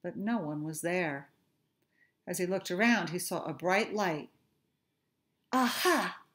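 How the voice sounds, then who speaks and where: A middle-aged woman, a teacher, reading a children's story aloud with expressive, deliberate phrasing in a room at home. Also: lowish voice. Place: small furnished room at home.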